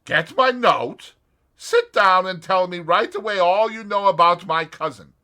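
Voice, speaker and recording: Brief: man putting on a pushy American in his thirties, loud and raised, bright and forceful. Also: high voice, measured pace, voice pushed up, exaggerated voice. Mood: blunt. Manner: loud, blunt and impatient. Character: brash young American man around thirty-five. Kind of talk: audiobook character voice.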